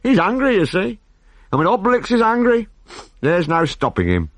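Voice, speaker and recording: Brief: man doing a chirpy, sly little hero, bright raised tone, bouncy delivery. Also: high voice, huge pitch swings, no echo, pitched above the performer's own voice, full character voice. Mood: teasing. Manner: brisk, teasing, breezy. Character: small, cheerful, clever Gaulish warrior man.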